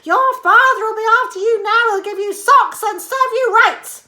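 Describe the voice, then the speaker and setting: A woman's voice: very high-pitched, moderate pace, pushed and bright, roomy sound, pitched above the performer's own voice, full character voice. A woman voicing a bossy, shrieking old grandmother in a storybook reading. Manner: screeching, scolding.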